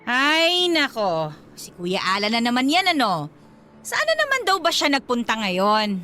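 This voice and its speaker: A woman playing an excitable, gossipy younger sister in a radio drama, blunt and teasing with dramatic exclamations. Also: on the high side, a little slow, faint music behind, full character voice. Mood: teasing, playful.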